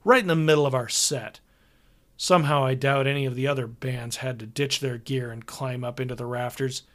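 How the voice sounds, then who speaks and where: A man voicing a calm, wry young rock musician with a dry manner. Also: medium pitch, fairly quick, natural voice.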